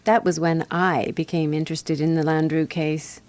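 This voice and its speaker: A woman's voice: sits low, little pitch movement, moderate pace, a little mellow, no echo, lightly acted. A woman playing a prim, wry 1920s Parisian resident, narrating a radio drama in a precise, dryly disapproving way. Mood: wry, reminiscing. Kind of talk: scripted first-person radio drama narration.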